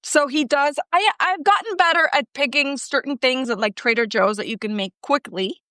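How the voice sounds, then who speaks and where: A woman's voice: high voice, moderate pace, pushed and bright, dry recording, studio quality. A woman in her thirties, from Colombia and raised in Ecuador, chatting as a guest in a self-deprecating way with lots of filler words. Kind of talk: casual chat.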